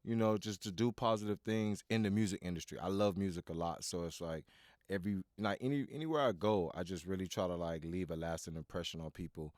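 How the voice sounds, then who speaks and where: A man's voice: deep voice, quick pace, a little mellow, dry recording, studio quality. A man in his thirties from Miami, talking as a podcast guest in a rambling, casual way, full of "you know" and restarts. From Miami, USA. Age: 30s. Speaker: guest, studio owner. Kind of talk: casual interview talk.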